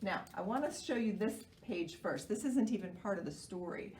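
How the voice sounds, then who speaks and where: An American woman presenting a children's storytime in an animated way, explaining simply and asking young children questions. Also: mid-range voice, normal speed. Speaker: children's library storytime host. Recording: roomy, distant microphone, faint noise.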